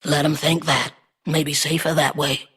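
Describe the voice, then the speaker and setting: An actress playing a small, wary, pragmatic goblin in a video game, with a husky voice and clipped, broken phrasing. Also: female voice, sits low, flat delivery, a little slow, fairly bright tone, exaggerated voice. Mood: wary, matter-of-fact.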